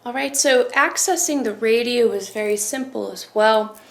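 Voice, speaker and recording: A woman's voice: fairly high pitch, moderate pace, vocal fry. A woman presenting a casual product demonstration, explaining things step by step.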